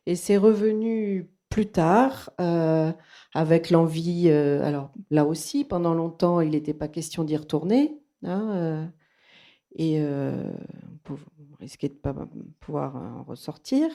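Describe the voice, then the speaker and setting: A woman's voice: sits low, unhurried, warm tone. A woman from Argentina, a native Spanish speaker with a Toulouse French accent, giving a thoughtful, hesitant personal account at a bookshop talk. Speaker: psychoanalyst presenting at a bookshop talk.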